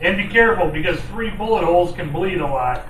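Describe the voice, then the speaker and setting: A man's voice: sits high, expressive, brisk. A man playing a brusque, commanding police officer in an old-time radio drama. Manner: loud, commanding. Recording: echoey noisy room from far off.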